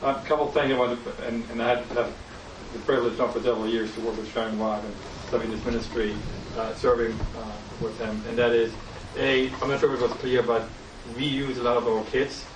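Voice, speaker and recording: A man's voice: mid-range voice, level tone, brisk. A middle-aged man with a German accent speaking earnestly on a panel, rambling and restarting his sentences. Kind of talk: panel discussion. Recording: roomy, distant mic, noisy.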